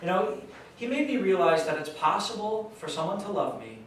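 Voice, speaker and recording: A man acting an earnest, troubled youth worker bares himself in an emphatic, confessional stage monologue. His pitch is a little high, and he sounds far from the mic in a very echoey room with faint noise. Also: very animated, moderate pace. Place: live staged reading in a large room.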